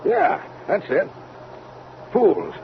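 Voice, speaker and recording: A man's voice: high-pitched, wildly swooping pitch, very slow, vocal fry, heavily acted. A man acting a blunt, wisecracking army major and rocket pilot in an old-time radio drama, speaking informally and plainly. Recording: muffled, noisy old radio recording.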